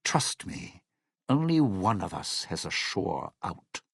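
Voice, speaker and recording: An actor playing a cynical, self-serving lounge singer in a video game, with a raspy, gravelly voice and a wry, theatrical, menacing delivery. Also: male voice, sits low, big pitch swings, slow, no room sound, heavily acted. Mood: cold, menacing. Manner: wry, theatrical, hesitant muttering turning to threats. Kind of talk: video game character dialogue.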